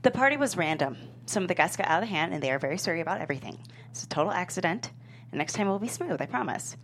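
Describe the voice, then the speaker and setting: A woman playing a confident, upbeat young sports management professional at a comedy table read, brisk and wry. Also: lowish voice, very animated, slight background noise. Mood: pitching, upbeat.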